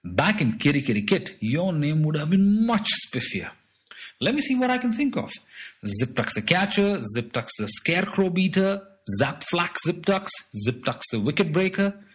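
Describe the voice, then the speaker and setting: A man's voice: sits high, sing-song, normal speed, pitched above the performer's own voice. A man voicing a boastful little alien trickster who is playful, bossy and fond of made-up words, in a storybook. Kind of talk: storybook character voice.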